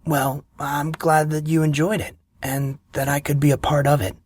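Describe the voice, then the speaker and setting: A man's voice: fairly high pitch, flat delivery, normal speed, a little mellow, dry recording, pitched above the performer's own voice, natural voice. A man voicing a wry, sarcastic teenage boy, easily exasperated. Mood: gentle. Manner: sarcastic, dry.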